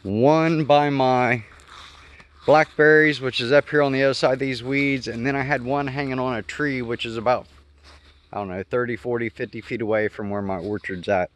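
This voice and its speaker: A man's voice: mid-range voice, steady tone, moderate pace. A man giving a casual, unscripted explanation outdoors, thinking aloud and correcting himself as he goes. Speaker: homestead vlogger. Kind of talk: casual unscripted explainer while walking around the yard. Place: outdoors in a garden yard.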